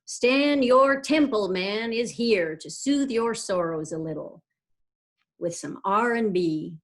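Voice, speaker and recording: A woman's voice: mid-range voice, lively intonation, slow pace. Woman playing a theatrical late-night radio host. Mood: showy, soothing.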